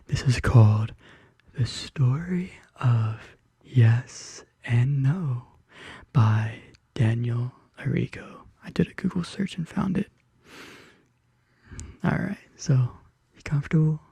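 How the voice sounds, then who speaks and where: Whispery, very dark-toned ASMR bedtime reader. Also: mid-range voice, expressive, slow, creaky, long pauses, breathy edge, no echo. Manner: intimate, whispering and soft murmuring. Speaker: ASMR video creator and host. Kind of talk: soft-spoken ASMR bedtime story. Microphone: close studio condenser microphone.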